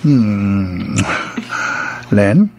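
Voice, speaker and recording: A man with a Filipino accent playing a possessive, insistent young boyfriend in a radio drama, intimate and husky. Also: on the low side, expressive, very slow, highs cut off, over loud music, exaggerated voice. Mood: coaxing, demanding.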